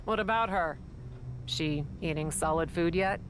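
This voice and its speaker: Woman doing a tough ruthless lady wrestler boss, lowish voice, short and blunt, video game scene, noisy background. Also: a little slow, lightly acted. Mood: defensive, hard. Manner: curt and hard-edged. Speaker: wrestling faction leader. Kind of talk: video game cutscene dialogue. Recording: game audio with clear background noise.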